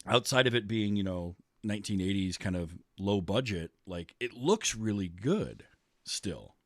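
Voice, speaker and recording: A man's voice: on the low side, very animated, measured pace, dry recording, studio quality. A man talking casually about films as a podcast host. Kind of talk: casual movie discussion.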